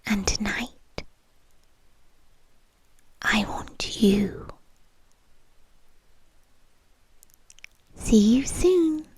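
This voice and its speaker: Slow, breathy British yandere girlfriend played by a woman. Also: on the high side, animated, no room sound, full character voice. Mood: quietly possessive. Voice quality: breathy, often dropping to a whisper. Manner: slow, teasing, deliberate delivery. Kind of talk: intimate ASMR roleplay. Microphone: close studio-style ASMR microphone.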